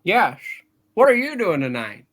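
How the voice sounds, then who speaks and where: A man's voice: sits high, wildly swooping pitch, a little slow, fairly bright tone, heavily acted. A man playing an earnest, restless, dreamy young small-town man in a hammed-up radio play reading, eager and theatrical.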